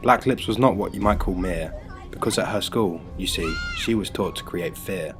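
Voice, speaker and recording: A man narrating a rhyming children's story in a measured, scripted storybook delivery. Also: low-pitched. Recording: music bed under the voice. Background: music.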